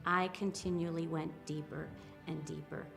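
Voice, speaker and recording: A woman in her fifties giving a reflective personal account, speaking hesitantly with soft pauses and restarts. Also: low voice, level tone, creaky, soft background music. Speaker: interviewee, spiritual practitioner.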